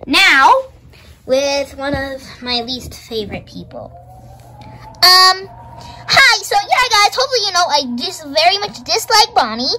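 A child voicing a bossy, self-important animatronic chicken chef, announcing cooks in improvised play in a halting manner. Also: high-pitched, very animated, noisy background.

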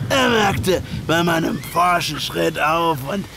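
Slow, high-pitched cold killer. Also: male voice, lively intonation, gravelly, noisy background, full character voice. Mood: menacing, measured. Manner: measured, dramatic, deliberate. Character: cold, deliberate male ex-soldier killer recounting murder. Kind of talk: scripted first-person audio drama narration. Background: music.

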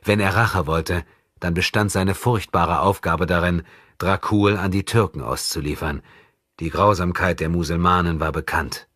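Very deep, husky male narrator. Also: steady tone, moderate pace, a bit bright, dry recording, clean studio sound. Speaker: audiobook narrator. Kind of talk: scripted dramatic literary narration.

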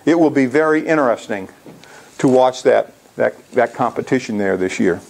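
Older man with a gravelly voice. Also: medium pitch, lively intonation, moderate pace, a little mellow, low-quality recording. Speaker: amateur radio club presenter. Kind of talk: informal slide presentation to a club. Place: indoor lecture room.

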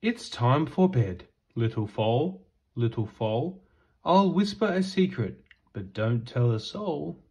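A man reading a children's bedtime picture book aloud in a gentle, singsong rhythm. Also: medium pitch, very animated, slow, slightly rough.

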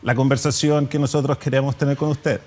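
A man with a Chilean accent hosts a TV interview and speaks firmly but politely. His voice has a mid pitch, picked up by a lapel mic in the studio. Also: flat delivery, brisk, darkish tone. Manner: firm, polite. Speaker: TV host.

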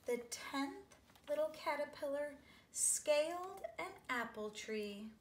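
Woman reading to children, roomy sound. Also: high voice. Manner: expressive, drawn-out phrasing, asking young listeners questions. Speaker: read-aloud storyteller for children. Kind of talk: children's picture book read-aloud. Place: small living room, seated on a couch. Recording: roomy home recording with background noise.

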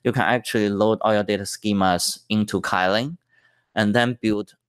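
A man's voice: lowish voice, fairly even intonation, moderate pace, smooth, no room sound, clean studio sound. A man giving a technical conference talk over slides, explaining step by step with many fillers and restarts. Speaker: tech presenter.

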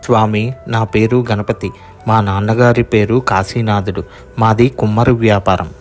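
An earnest young potter played by a man speaks in a low voice with little melodic movement. He is naive and polite, a cartoon moral-tale character with music in the background. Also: fairly even intonation, moderate pace, lightly acted. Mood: pleading. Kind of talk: cartoon moral story voice acting.

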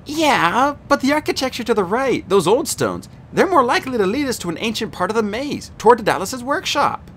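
A sharp teenage girl in a storybook, skeptical and quick to needle others, as a narrator puts her on. Her voice sits well above the reader's own and swings widely. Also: mid-range voice, sing-song, slight background noise, voice pushed up. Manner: sarcastic, clipped.

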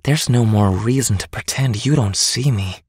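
Young royal from a game, soft breathy voice, slow n earnest, dry sound. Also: female voice, rumbling low, no room sound. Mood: probing, calm. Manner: earnest, deliberate. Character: youthful royal, gentle, regal and composed. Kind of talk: dramatic game voice acting.

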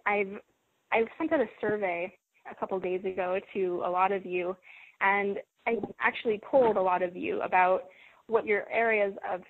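Lady coaching on a call, calm and encouraging, kinda husky, phone-line sound. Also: medium pitch, no echo. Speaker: host of a self-help conference call. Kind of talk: coaching talk.

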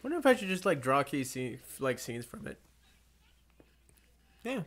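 A man's voice: medium pitch, very animated, moderate pace, fairly bright tone. A young man rambling casually to himself while doing live commentary on an old movie, full of fillers and restarts. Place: at home.

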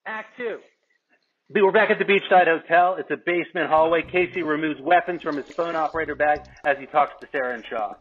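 A man's voice: on the high side, brisk, slightly rough. A man reading stage directions from a script at a table read, with thin phone-like sound. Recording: thin phone-like audio, music behind.

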